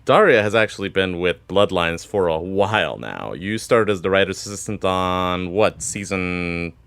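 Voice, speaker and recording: A man's voice: low-pitched, expressive, normal speed, fairly bright tone, clear, resonant tone. A man chatting as an interview guest, a writer with a wry, supportive manner. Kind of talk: casual interview chat.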